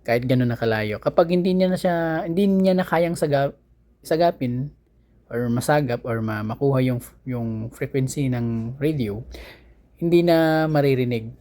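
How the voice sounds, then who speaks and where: A man with a Filipino accent talks through a product like a vlogger doing an unboxing. His voice sits at a middle pitch, and his delivery is casual and conversational, halting now and then as he searches for the next word. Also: mellow. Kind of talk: casual unboxing and review talk.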